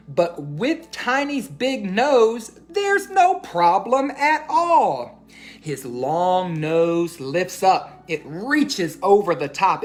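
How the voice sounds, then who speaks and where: A woman hosting a children's read-aloud, narrating a storybook in a teacherly way and putting questions to listeners. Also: lowish voice.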